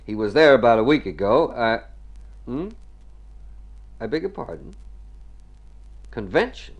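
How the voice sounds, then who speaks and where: An actor playing a worried, tender family friend in mid-century radio drama, earnest and trying to stay practical. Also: male voice, medium pitch, big pitch swings, measured pace. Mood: businesslike.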